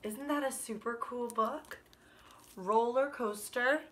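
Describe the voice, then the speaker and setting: A woman in her thirties, a kindergarten teacher, reading a picture book aloud to children in an animated, expressive way. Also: on the high side. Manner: animated, expressive, drawn-out emphasis on words. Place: small living room by a fireplace, indoors.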